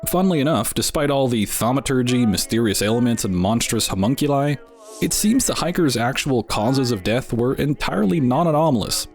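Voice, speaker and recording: A male host delivers scripted narration in a mid-pitched voice. His manner is dry and tongue-in-cheek, like wry commentary on a strange tale. Music runs underneath. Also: a little mellow. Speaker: show host. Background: background music.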